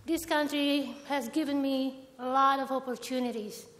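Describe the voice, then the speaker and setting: A woman from Indonesia with an Indonesian accent, formally and deliberately telling her personal story as a roundtable guest. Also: sits high, fairly even intonation, crowd noise. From Jakarta, Indonesia. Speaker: roundtable guest, bank employee. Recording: echoey hall, noticeable background noise.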